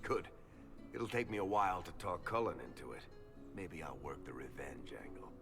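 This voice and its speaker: A genial, low-voiced storyteller. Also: male voice, big pitch swings, normal speed, fairly bright tone, faint music behind, exaggerated voice. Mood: wry, friendly. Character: genial male storyteller, easygoing card-playing companion. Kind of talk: video game dialogue acting.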